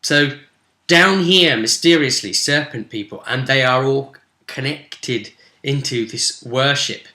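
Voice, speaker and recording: Bright-voiced man, unhurried, in an echoey room. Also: mid-range voice. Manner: animated, jokey, self-interrupting. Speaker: game master and vidcast host. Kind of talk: casual rambling recap of a tabletop role-play session. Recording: echoey, roomy sound.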